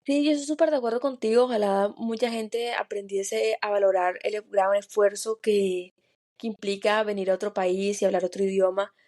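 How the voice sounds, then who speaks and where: A woman's voice: medium pitch, steady tone, moderate pace, darkish tone. A woman with a Spanish-speaking foreign accent co-hosting a podcast. She talks in a heartfelt, personal way and often repeats small words as she goes. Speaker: podcast co-host. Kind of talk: reflective podcast chat.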